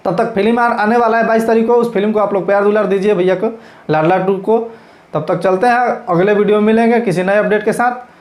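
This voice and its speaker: A man's voice: high-pitched, steady tone, brisk. A man with a Bhojpuri-region Hindi accent giving informal, emphatic news commentary as a channel host, repeating his points as he explains. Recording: echoey, roomy sound.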